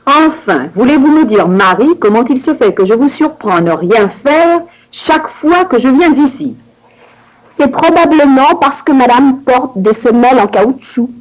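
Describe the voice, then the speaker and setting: A woman reading scripted dialogue for a language course with clear, careful enunciation. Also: high voice, animated, moderate pace, rough recording.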